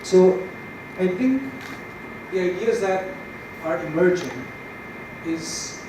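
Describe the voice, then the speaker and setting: Male conference panelist, raised pitch, explanatory delivery, far-off mic with clear noise. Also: lively intonation, slow pace. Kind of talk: explanatory spontaneous answer. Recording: very echoey, distant hall mic, noisy.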